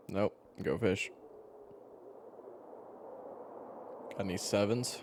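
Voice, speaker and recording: A man playing a weary but gentle storyteller with a regretted past, talking casually and haltingly to the listener in an intimate audio roleplay. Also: deep voice, creaky, a little hiss or hum, heavily acted. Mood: playful grumbling. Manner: casual, halting, with sighs and pauses.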